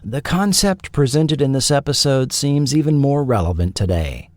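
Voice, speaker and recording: A man hosting and narrating a scripted documentary feature in a measured, articulate way. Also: mid-range voice, lively intonation, a little mellow, dry recording, studio quality. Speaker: podcast host and narrator.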